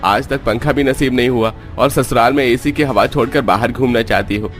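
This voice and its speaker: A man's voice: medium pitch, brisk, faint music behind, pitched above the performer's own voice, lightly acted. A man voicing a scornful, irritable rich young husband in a cartoon, scolding and dismissive. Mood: irritated, scornful.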